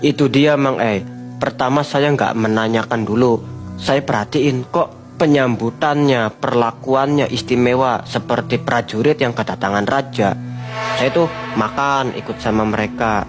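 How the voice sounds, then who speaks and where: A man, a guest telling a long personal story in an unhurried, vivid way. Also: medium pitch, fairly even intonation. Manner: unhurried, vivid storyteller who often addresses the host. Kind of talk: storytelling in a podcast chat. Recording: music playing behind.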